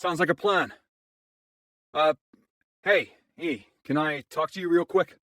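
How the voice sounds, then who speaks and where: A man's voice: on the high side, unhurried, sharp tone, no room sound, studio quality. A man playing a reluctant, responsible young hero in a fantasy drama. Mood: decisive. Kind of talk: fantasy audio drama acting.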